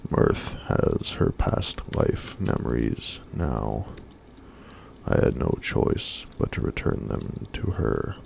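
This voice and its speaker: A man voicing an ancient, gentle male dragon in a soft-spoken storybook reading. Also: very deep voice, lively intonation, slow, creaky, a little hiss or hum, full character voice.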